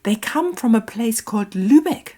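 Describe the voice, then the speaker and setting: A woman's voice: a little high, normal speed. Woman reading as an eager little plush hedgehog. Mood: excited. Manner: excited, wide-eyed. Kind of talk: storybook reading.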